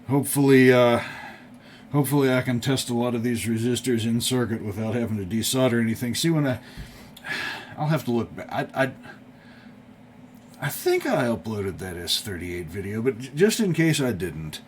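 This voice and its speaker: A man giving casual, unscripted workbench commentary as a hobby video host, thinking aloud in a folksy, tentative, rambling way. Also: fairly low pitch. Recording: clearly audible background noise.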